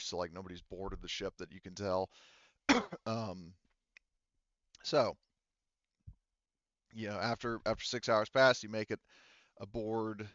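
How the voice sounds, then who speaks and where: A man working as game master, casually narrating a sci-fi tabletop roleplaying game in a halting, think-aloud way. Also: lowish voice, fairly bright tone, smooth, dry recording, dull audio.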